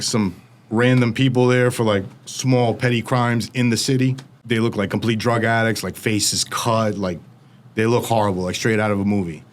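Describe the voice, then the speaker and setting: Animated American man retelling a story. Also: lowish voice. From United States. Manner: fast, animated retelling with quoted voices. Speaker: interview guest. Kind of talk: casual storytelling.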